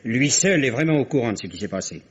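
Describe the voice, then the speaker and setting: An actor playing a harried, stubborn small-town registry clerk with a husky voice, in 1950s French radio drama. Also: male voice, medium pitch, expressive, fast. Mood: grudging. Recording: dull, band-limited vintage radio recording.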